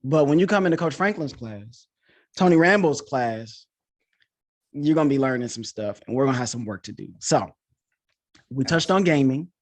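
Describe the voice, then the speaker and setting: A man's voice: medium pitch, expressive, moderate pace, long pauses. A man hosting a podcast in a casual, informal chat, talking with enthusiasm like a coach. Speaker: podcast host; coach and teacher.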